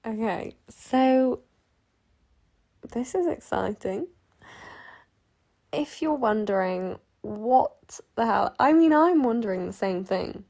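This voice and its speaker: Chatty eighteen-year-old woman with creaky voice. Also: fairly high pitch, expressive, takes it slowly, pauses often, darkish tone. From Sheffield, England. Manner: chatty, self-interrupting, giggly asides. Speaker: podcast host. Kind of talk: rambling casual chat.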